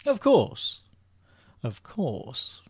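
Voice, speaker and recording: An actor playing a dry, wry British gentleman spy with an understated manner in a radio comedy-mystery. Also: medium pitch, huge pitch swings, drawn-out words, breathy edge, no room sound, heavily acted.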